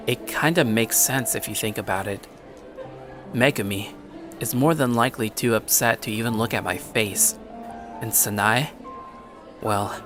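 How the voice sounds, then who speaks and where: An actor playing an anxious, earnest teenage schoolboy who narrates an anime-style story in a conversational, introspective way. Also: male voice, on the low side, close to an everyday voice. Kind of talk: anime-style audio story, first-person scripted narration.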